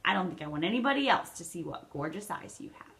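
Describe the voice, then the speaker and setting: A woman's voice: lowish voice, lively intonation, fast, creaky, fairly bright tone. A woman voicing a kind, reassuring teenage boy in a read-aloud storybook. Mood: tender.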